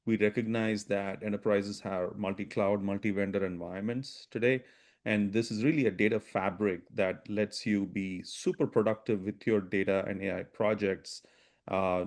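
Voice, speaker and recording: A man presenting a business webinar, talking quickly with frequent restarts and "right?" tags. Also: on the low side, darkish tone. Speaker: webinar presenter, product strategy VP.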